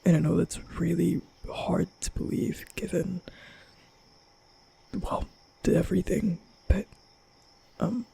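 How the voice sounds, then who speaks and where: A young man playing an awkward, nervous vampire in an ASMR roleplay, stammering and muttering in breathy whispers. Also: sits high, steady tone, mellow, a little hiss or hum, heavily acted. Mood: awkward, hesitant. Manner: halting, stammering, muttering to himself. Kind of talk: ASMR roleplay audio drama.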